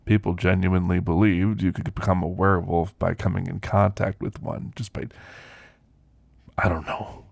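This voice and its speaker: A man playing an elderly werewolf who reminisces in a folksy, gentle, unhurried way, in an ASMR roleplay with a gravelly, husky voice. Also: sits low, flat delivery, dry recording. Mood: wistful, reflective. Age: older. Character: elderly male werewolf, reflective, gentle storyteller recounting his past.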